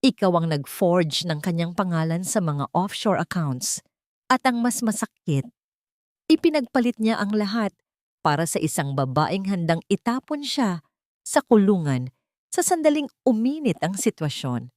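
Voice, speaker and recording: A woman's voice: fairly low pitch, big pitch swings, a little slow, darkish tone, no room sound, clean studio sound. A woman voicing a cold, controlled, proud heiress wronged as a wife and set on revenge. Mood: accusing.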